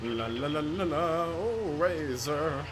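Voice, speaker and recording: A man's voice: a little high, expressive, slow, background noise. A man giving casual, rambling, sweary let's play commentary over racing game sounds. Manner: rambling, sweary, joking to himself. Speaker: gaming video commentator.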